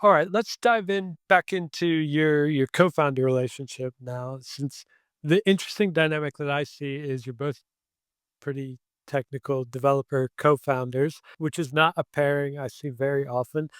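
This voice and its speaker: A man's voice: a little high, moderate pace, fairly bright tone, clean tone, dry recording, clean studio sound. A man hosting an interview who asks questions in a casual, conversational way. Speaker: interview host.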